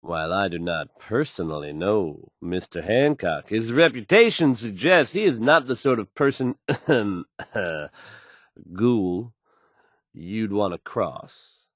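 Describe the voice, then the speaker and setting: An actor playing a confident radio DJ in a game, announcing with polished, assured delivery. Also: male voice, on the low side, lively intonation, slow, dry recording, studio quality. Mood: composed, serious. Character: confident, smooth male radio DJ and newsreader. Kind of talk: radio song introductions and news reading.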